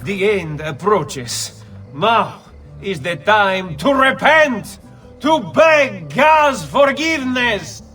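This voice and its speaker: A high, swooping zealot preacher. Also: male voice, big pitch swings, bright, forceful tone, full character voice. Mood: zealous. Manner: declamatory, slow, drawn-out oratory. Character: fanatical Renaissance preacher, zealous doomsaying man. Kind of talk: dramatic video game cutscene acting. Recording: music behind.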